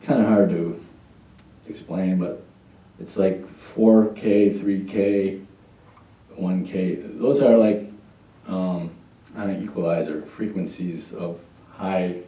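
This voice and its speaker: A man's voice: low-pitched, takes it slowly, pauses often, mellow, roomy sound, far from the mic, rough recording. A man giving patient, step-by-step hands-on training on a church mixing desk, testing a mic as he goes. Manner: patient, explains step by step, tests the mic with "check, one, two". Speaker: sound board trainer. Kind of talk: hands-on technical instruction. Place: church sound booth, indoors.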